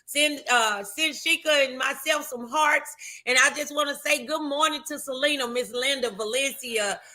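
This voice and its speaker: A woman hosts a casual talk show in a high-pitched voice. Her tone is bright and forceful. She sounds animated and encouraging, with a folksy way of addressing people. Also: moderate pace. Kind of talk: casual chat, audience engagement.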